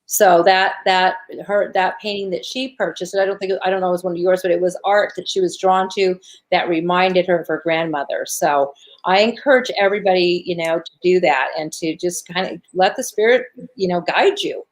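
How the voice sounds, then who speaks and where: A woman with a raspy voice hosting a spiritual talk show, chatting casually in an encouraging, chatty way. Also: on the low side, fairly quick, poor audio. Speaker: show host.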